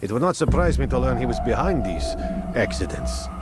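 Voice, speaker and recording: An actor playing a bitter, suspicious laborer of medieval Jerusalem in a video game. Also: male voice, medium pitch, big pitch swings, fairly quick, loud music behind the voice, lightly acted. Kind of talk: dramatic video game cutscene acting.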